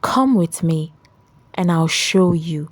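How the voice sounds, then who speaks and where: Casting: female narrator as soft-spoken, tender gardener husband in a folktale, humble and wounded, dry recording. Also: fairly low pitch, animated, takes it slowly, dry recording. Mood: gentle. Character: gentle, humble, hurt young husband, a gardener.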